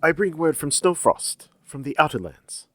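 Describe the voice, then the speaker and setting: An actor plays a courier in a fantasy radio play, urgent and deferential. His voice is fairly high for a man and swings widely in pitch. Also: very animated, normal speed, a bit bright, dry recording. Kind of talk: fantasy audio drama acting.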